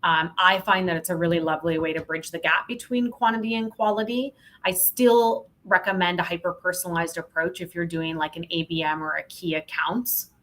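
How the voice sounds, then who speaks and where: A woman's voice: on the low side, steady tone, fairly quick, hardly any pauses, fairly bright tone. A woman talking candidly and emphatically as a podcast guest, giving informal expert advice in a casual conversation.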